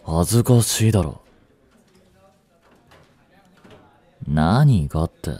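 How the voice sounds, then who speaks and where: A man's voice: dark tone, no room sound, heavily acted. A man playing a cool, curt teenage boyfriend. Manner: curt, dismissive, low-voiced. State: feverish and weak. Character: cool aloof teenage boyfriend, blunt and proud. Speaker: voice actor. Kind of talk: Japanese romance voice drama.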